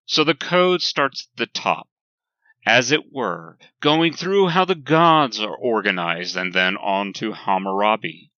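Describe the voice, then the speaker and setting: Slow, bright-voiced man reading a sermon. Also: fairly low pitch, lively intonation, no room sound, highs cut off. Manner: measured, emphatic phrasing with deliberate pauses. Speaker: reader of a written sermon. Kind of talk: scripted lecture-like sermon reading.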